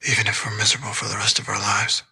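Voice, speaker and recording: Tense, husky male space adventurer. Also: fairly low pitch, completely flat delivery, rapid-fire, sharp tone, exaggerated voice. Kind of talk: television drama dialogue.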